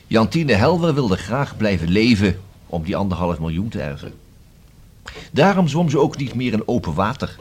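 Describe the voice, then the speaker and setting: A male actor in a Dutch radio play as a probing, self-assured police inspector who questions deliberately and dryly, with a husky voice. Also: low-pitched, exaggerated voice. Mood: dry, certain. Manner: deliberate, rhetorical questioning with emphatic repeats.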